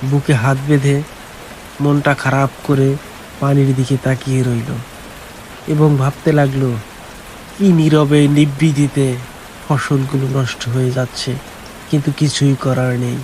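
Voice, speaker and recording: A man's voice: medium pitch, little pitch movement, unhurried, pauses often, warm tone, poor audio. A man narrating a scripted folk tale as storyteller. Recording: faint hiss and rain noise.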